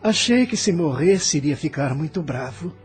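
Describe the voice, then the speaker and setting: Audio drama role: a male actor as a poor, regretful departed soul, Brazilian, lightly high-pitched, questioning and unsure, soft music behind. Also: big pitch swings, moderate pace. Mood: regretful, frustrated. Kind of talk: scripted audio drama dialogue.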